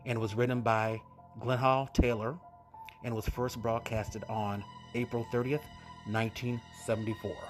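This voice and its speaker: A man hosting an old-time horror radio show with a casual introduction. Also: medium pitch. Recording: music playing behind the voice. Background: music.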